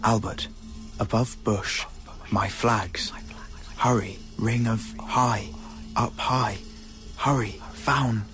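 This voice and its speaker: A man voicing an injured, exhausted young man's urgent message in halting fragments. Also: fairly low pitch, fairly even intonation, fairly bright tone, soft background music, pitched below the performer's own voice, rough recording. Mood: urgent, faint.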